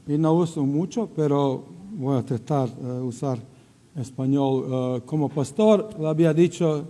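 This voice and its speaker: Halting Croatian-accented man in echoing hall. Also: on the high side, mellow. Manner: halting non-native Spanish, searching for words, slipping into English. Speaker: missionary. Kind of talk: church greeting and testimony. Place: church sanctuary.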